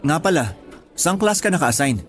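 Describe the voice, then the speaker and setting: A man's voice: fairly high pitch, animated, moderate pace, soft background music, close to an everyday voice. A man playing a friendly, reassuring teacher colleague in a radio drama, speaking in an easygoing, conversational way. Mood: teasing warning. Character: friendly, reassuring young male high school teacher colleague. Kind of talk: Tagalog radio drama acting.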